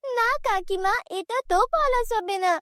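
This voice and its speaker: A lonely, kind-hearted village girl in a cartoon story, voiced by a woman. Her voice is extremely high, earnest and plaintive. It is recorded dry and close. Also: unhurried, a bit bright, dry recording. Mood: refusing.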